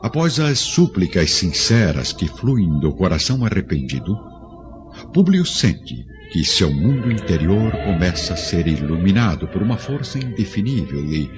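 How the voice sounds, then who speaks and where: Low-voiced man narrating a radio play, serious, loud music under, muffled sound. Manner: grave, measured storytelling. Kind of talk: scripted radio drama narration. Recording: dull lo-fi audio with strong music.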